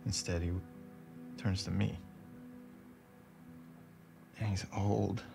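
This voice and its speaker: A weary, bitter young soldier. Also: male voice, level tone, slow pace, gravelly, exaggerated voice. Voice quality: low, quiet, often near a whisper. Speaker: game character. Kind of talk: video game cutscene acting. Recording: game audio with music score.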